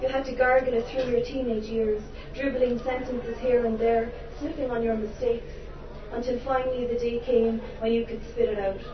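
A woman's voice: fairly high pitch, little pitch movement, normal speed, few pauses, crowd noise. An Irish woman performing her spoken word poetry to a live audience, impassioned and theatrical with wry humorous asides. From Ireland. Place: live venue before an audience. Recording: roomy, distant, dull live recording with background noise.

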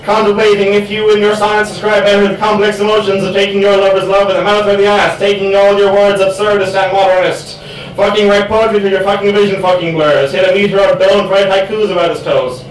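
A man's voice: sits high, level tone, fairly quick. A man performing spoken word poetry in an emphatic, profane delivery at a live event. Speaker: poet. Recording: echoey room, far mic, faint noise.

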